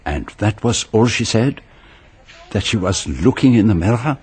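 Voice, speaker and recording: An actor playing a courteous, shrewd foreign detective in a radio drama, with a French-speaking accent and formal, precise, measured delivery. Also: male voice, lowish voice, animated, a little mellow, breathy edge. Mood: grave. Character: courteous, shrewd foreign male detective narrating his case. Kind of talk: radio drama acting and first-person narration.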